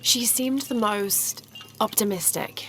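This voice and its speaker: An actress playing a thoughtful young woman adventurer in a video game, measured and sincere. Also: mid-range voice, sharp tone. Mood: earnest, calm. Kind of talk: video game cutscene acting. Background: music.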